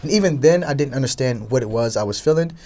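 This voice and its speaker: A young man giving conversational answers as an interview guest. His voice sits at an average pitch for a man. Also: animated, fast talker, darkish tone. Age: young adult. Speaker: talk show guest, entrepreneur.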